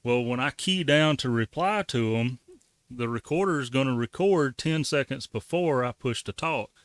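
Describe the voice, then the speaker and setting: A man's voice: mid-range voice, lively intonation, moderate pace, dry recording. A man giving a casual, folksy how-to walkthrough as the host of a hobby tutorial. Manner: folksy, colloquial. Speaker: ham radio tutorial host.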